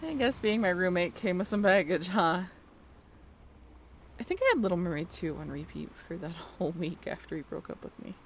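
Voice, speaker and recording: A woman's voice: fairly low pitch, animated, normal speed, slight background noise. A woman playing a friendly, flustered young former college roommate in a romantic audio roleplay, talking in a bashful, hesitant, giggly and apologetic way. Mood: wry, reminiscing. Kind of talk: romantic audio roleplay, naturalistic one-sided conversation.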